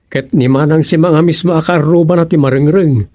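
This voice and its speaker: A man's voice: fairly high pitch, fairly even intonation, a little fast, mellow, no room sound, studio quality, close to an everyday voice. A man with an Ilocano accent playing a grumbling villager who frets over his neighbours in a radio comedy drama.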